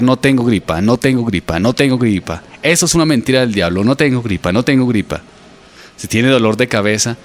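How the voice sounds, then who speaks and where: A man with a Colombian Spanish accent, a pastor delivering an emphatic sermon. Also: mid-range voice. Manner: emphatic preaching, mocking repeated quotes.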